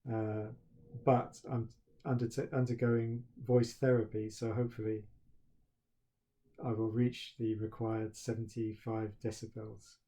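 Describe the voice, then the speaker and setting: A middle-aged man with a soft voice, a university professor speaking haltingly to his students at the start of term, with many pauses and restarts. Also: on the low side, little pitch movement.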